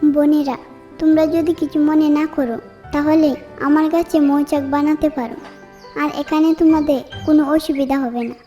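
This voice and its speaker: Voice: sits high, fairly even intonation, moderate pace, mellow, soft background music, close to an everyday voice. A performer voicing kindly, polite talking nature characters, a queen bee and a mango tree, in a children's fairy tale cartoon. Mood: gentle, caring.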